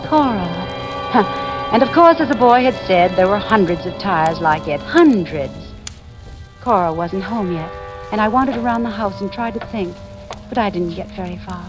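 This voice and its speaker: A woman's voice: mid-range voice, expressive, natural voice, low-quality recording. An actress playing an anxious, hesitant middle-aged mother turned amateur sleuth in a 1940s radio drama, both narrating and speaking in scenes. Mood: brooding. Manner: halting, hesitant, uneasy. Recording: vintage radio recording with music.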